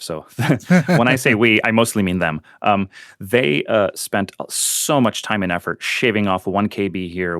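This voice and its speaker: Interview guest: a low-voiced man who grew up speaking Ukrainian, relaxed and plainspoken, with a dry close sound. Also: no room sound. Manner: explains fast and plainly with fillers and restarts. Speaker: guest, volunteer on a missing-persons website. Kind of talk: casual interview talk.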